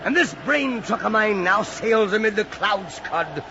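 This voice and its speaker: An actor playing a crazed, defiant old whaling captain in an old radio drama, ranting in grandiose archaic speech with a gravelly voice. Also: male voice, sits high, animated, measured pace, heavily acted. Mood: scornful fury. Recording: muffled, noisy old radio recording.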